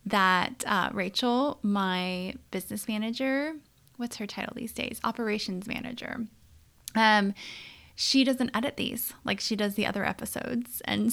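A woman's voice: a little high, creaky, sharp tone, clean tone. A woman in her thirties hosting her own podcast, talking candidly and conversationally into a studio mic. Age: 30s. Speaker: podcast host and leadership coach. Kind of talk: solo podcast monologue.

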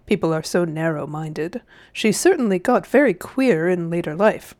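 A woman's voice: on the low side, animated, moderate pace, darkish tone, lightly acted. Storybook villainous old uncle, played by a woman: cunning, smug, coaxing, genteel and condescending. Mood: evasive. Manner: wheedling, condescending, old-fashioned genteel phrasing. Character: cunning, smug old gentleman uncle, falsely sweet and sly. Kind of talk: storybook character voice.

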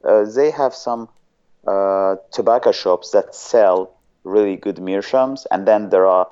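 Man, Azerbaijani accent, smooth deep voice, hesitant guest. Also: unhurried, dark tone, lo-fi sound. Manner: hesitant, often searching for words. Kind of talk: casual interview chat.

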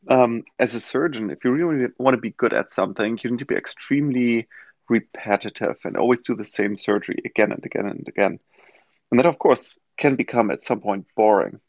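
A man in his forties from Germany with a German accent, answering interview questions in a thoughtful way with many pauses. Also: mid-range voice, dry recording. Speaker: guest, company founder. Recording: dull lo-fi audio-room stream.

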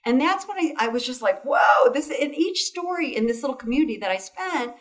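A woman's voice: sits high, animated, brisk, recorded from a distance. A woman being interviewed as a foundation founder, talking enthusiastically and often tagging sentences with "right?" and "you know". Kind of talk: interview conversation.

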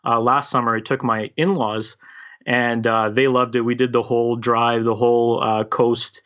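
A man's voice: medium pitch, normal speed, clear, resonant tone. Friendly guy in his 30s, grew up in canada, guesting on a podcast over muffled lo-fi audio. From former Yugoslavia, raised in Canada. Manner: friendly, relaxed, rambling. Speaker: podcast guest, real estate investor. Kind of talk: casual interview. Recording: dull lo-fi call audio.

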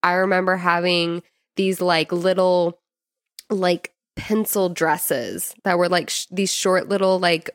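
A woman's voice: on the low side, steady tone, slow pace, no echo. Informal American woman chatting, dry sound. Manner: informal, peppered with "like". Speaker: podcast co-host. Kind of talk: casual chat.

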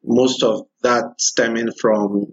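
A young man with a Nigerian accent hosting a casual conversation, speaking in a measured, halting, probing way. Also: medium pitch, fairly even intonation, muffled recording. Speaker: podcast host.

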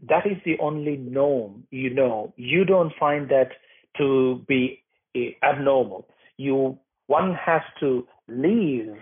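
A man answers interview questions in a halting, thoughtful way, pausing on fillers and starting over mid-sentence. His voice is a little husky and breathy. It comes through a thin, phone-like line. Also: mid-range voice. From Sri Lanka. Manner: thoughtful, many fillers and restarts. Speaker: guest, documentary maker. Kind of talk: interview answers, personal account.

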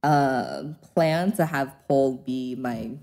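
Low-voiced chatty young woman, American accent. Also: takes it slowly, mellow. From Hong Kong. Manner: chatty, laughs often, many fillers like "like" and "um". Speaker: interview guest, pole dance instructor. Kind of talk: casual interview conversation.

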